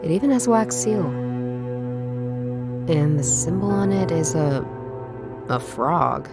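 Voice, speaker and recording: An actress voicing a sharp-witted, wry former detective who thinks aloud in a noir visual novel game. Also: female voice, on the low side, animated, slow. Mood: wry, curious. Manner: wry, musing, dry. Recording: voice under prominent background music. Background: music.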